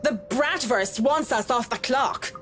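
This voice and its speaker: A woman's voice: on the high side, measured pace, fairly bright tone, soft background music. An actress playing a blunt, wry working woman in a video game, frank and casually sardonic. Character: blunt, wry female worker unafraid of her boss. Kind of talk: visual novel voice acting.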